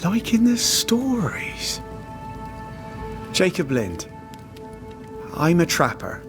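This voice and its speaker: An actor playing a haunted, starving trapper, simple, devout and loyal, speaking in plain rustic phrases in a game drama. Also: male voice, sits high, wildly swooping pitch, over music, exaggerated voice. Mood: wistful, uneasy. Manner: rustic dialect phrasing, plain halting sentences. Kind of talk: dramatic video game cutscene acting.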